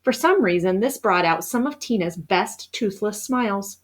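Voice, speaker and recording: A woman reading a children's novel aloud as scripted narration, with expressive storytelling emphasis. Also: mid-range voice, normal speed. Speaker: storybook reader.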